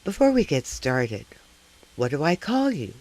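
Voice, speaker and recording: A man playing a probing, skeptical talk show host in comic live radio theater, with a deadpan, announcer-like delivery. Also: a little high, unhurried, heavily acted. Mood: businesslike.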